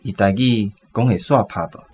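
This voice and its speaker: An actor playing a practical middle-aged cinema tradesman in a radio drama, measured and matter-of-fact, with a Taiwanese accent. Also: lowish voice, a little mellow, soft background music, close to an everyday voice. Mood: considering.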